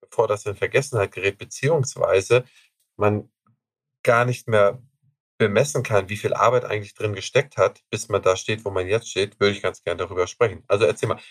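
A male podcast host with a fairly low voice speaks enthusiastically and conversationally, now and then pausing on filler sounds. His voice is recorded dry and close, with almost no room sound. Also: no room sound. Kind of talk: podcast interview.

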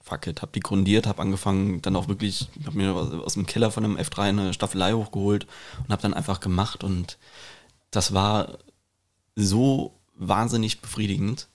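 A man's voice: deep voice, steady tone, moderate pace. A man talking in a casual podcast chat, reflective and informal, with English slang mixed in. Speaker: podcast host and painter.

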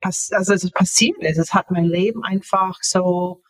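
A woman in her fifties, an author talking casually as an interview guest, halting and searching for words with many fillers. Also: lowish voice.

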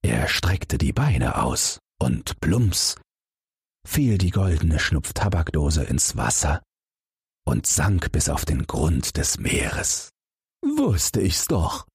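Man reading a bedtime fairy tale, lively sing-song delivery, very raspy voice. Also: rumbling low, slow pace, no echo, studio quality. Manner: calm, expressive storytelling. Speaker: audiobook narrator.

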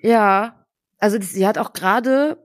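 Young adult co-host in casual chat, halting and searching for words, mid-range voice, dry sound. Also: medium pitch, no echo. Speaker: podcast co-host.

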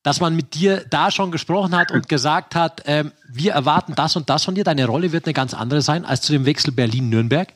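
A man hosting a casual sports podcast, chatting in long, winding questions with a husky voice. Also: fairly high pitch, fairly quick, hardly any pauses. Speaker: podcast host.

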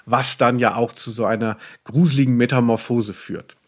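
A man's voice: mid-range voice, steady tone, moderate pace. A man co-hosting a podcast in a casual, ironic discussion, explaining things in a mock-erudite way. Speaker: podcast co-host, pop journalist.

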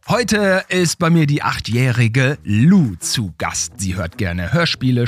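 A man's voice: mid-range voice, husky. A man hosting a podcast, chatting casually in an interview with a child guest. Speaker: podcast host.

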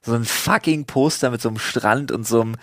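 A man's voice: mid-range voice, fairly quick, a bit bright, no room sound, clean studio sound. A man chatting casually as a podcast host, telling stories in a slangy, sweary, mock-exasperated way. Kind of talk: casual chat, storytelling.

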